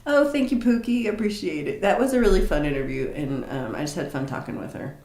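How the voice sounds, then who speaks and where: Rambling woman with a low voice. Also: lively intonation, fairly quick, darkish tone, reverberant. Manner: chatty, rambling, many fillers and restarts. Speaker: livestream host. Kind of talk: casual live chat reading comments.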